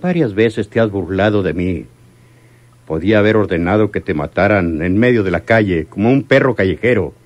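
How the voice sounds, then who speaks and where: An actor playing a menacing, lovesick crime boss in a radio drama, speaking deliberately and bitterly. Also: male voice, lowish voice, a little mellow, no room sound, highs cut off, lightly acted. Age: older. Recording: noisy old radio drama recording.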